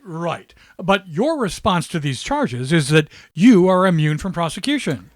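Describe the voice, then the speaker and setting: A high-pitched, deferential defense lawyer. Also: male voice, big pitch swings, unhurried. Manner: polite, hesitant, obsequious. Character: deferential, hesitant defense attorney. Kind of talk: satirical radio drama acting.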